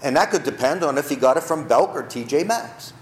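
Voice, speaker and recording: Male pastor, high animated voice, room echo from the church. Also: a little fast, a little hiss or hum. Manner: storytelling, rhetorical questions. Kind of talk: sermon.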